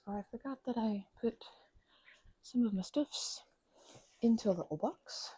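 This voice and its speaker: A woman in a rambling, soft-spoken ASMR craft chat, talking in a playful put-on Scottish accent and drifting between quiet talk and whispers. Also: on the high side, slightly rough, dry recording, poor audio.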